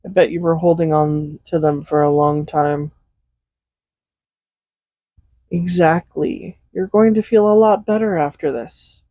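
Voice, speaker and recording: A man's voice: on the high side, steady tone, normal speed, dry recording, narrow, tinny audio, lightly acted. A man playing a tender, possessive villain in an intimate roleplay audio drama, speaking in a soothing, coaxing, reassuring way. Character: tender, possessive villain comforting a captive loved one. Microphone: close USB condenser microphone.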